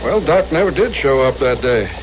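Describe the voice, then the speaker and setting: A man's voice: mid-range voice, animated, moderate pace, lightly acted. An American man playing a mild, hesitant, courteous frontier rancher in an old-time radio western. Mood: polite, concerned. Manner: plain, courteous. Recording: muffled, noisy vintage radio recording.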